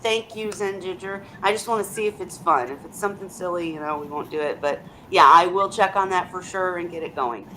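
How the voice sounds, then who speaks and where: A woman's voice: on the low side, fairly quick, few pauses, sharp tone, a little hiss or hum, rough recording. A woman hosting a casual group video chat. She talks in a chatty way, asks lots of questions and often repeats her words as she starts. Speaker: host of a live video chat.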